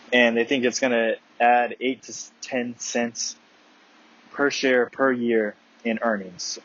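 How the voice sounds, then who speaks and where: A man with a lowish voice talks through financial notes in a casual way. His delivery is hesitant and he corrects himself as he goes. The recording is somewhat muffled, with noticeable noise behind him. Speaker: amateur investing commentator. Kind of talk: casual earnings call analysis from notes. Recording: muffled audio with clear background noise.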